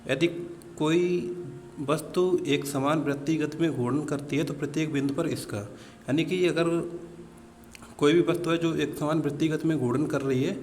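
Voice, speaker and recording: A man works through practice questions as a coaching teacher. His voice sits a little high, and he explains things to his listeners as friends, dropping in English technical words. The recording is reverberant, with some noise behind him. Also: darkish tone. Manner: mixes English technical terms into speech. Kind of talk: practice question walkthrough lesson. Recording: reverberant room with background noise.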